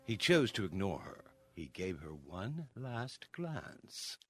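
A man narrating a dark fairy tale as a theatrical, scripted radio-play storyteller. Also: fairly low pitch, sing-song, takes it slowly, slightly rough. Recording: prominent music under the voice.

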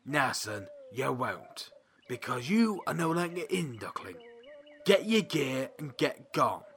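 An actor playing a brusque, scornful drill sergeant duck in an audio drama, barking sarcastic military orders. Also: male voice, mid-range voice, sing-song, slow pace, a bit bright, heavily acted.

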